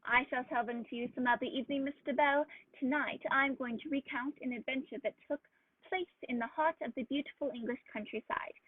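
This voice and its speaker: A woman playing a genial, avuncular old gentleman doctor who tells stories in a radio play. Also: high voice, steady tone, fairly quick, husky, no room sound. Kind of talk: radio play acting and narration. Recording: dull lo-fi dry audio, faint music.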